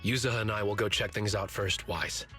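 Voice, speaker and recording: Casting: earnest muscular young male game teammate, lowish voice, plain-spoken, light music behind. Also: brisk, pushed and bright. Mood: matter-of-fact. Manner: straightforward, matter-of-fact. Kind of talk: English video game voice acting.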